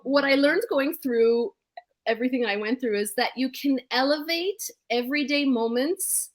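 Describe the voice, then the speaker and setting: An upbeat woman singer talks through her story on a video call, now and then breaking into song. Her voice sits a little high. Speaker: guest singer. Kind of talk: casual video-call interview.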